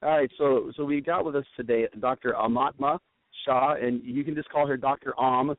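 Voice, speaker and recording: A man, a wellness coach, talking on the radio in an enthusiastic, rambling way, with a raspy voice today. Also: medium pitch, steady tone, moderate pace, dry recording. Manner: enthusiastic, rambling, lots of restarts. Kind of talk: casual radio chat. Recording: tinny phone line with faint noise.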